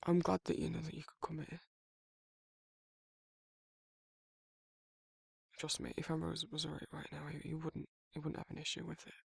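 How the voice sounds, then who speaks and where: A man playing a shy, protective werewolf in a romantic ASMR roleplay, speaking haltingly and reassuringly in a gravelly, husky voice. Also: on the high side, level tone, no echo, clean studio sound, exaggerated voice. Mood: gentle concern. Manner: halting, awkward, softly reassuring. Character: shy, protective, tender young male werewolf in love. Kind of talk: romantic ASMR audio roleplay, intimate and conversational.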